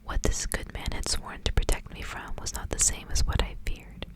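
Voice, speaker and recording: A woman reading a storybook aloud for ASMR in a breathy, unvoiced whisper, with gentle phrasing. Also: moderate pace. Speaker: ASMR reader.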